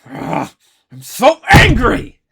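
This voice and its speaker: A man voicing a brash, hotheaded teenage boy student who yells in a very high voice. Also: sing-song, slow, heavily acted. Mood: angry, shouting. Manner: hotheaded, yelling.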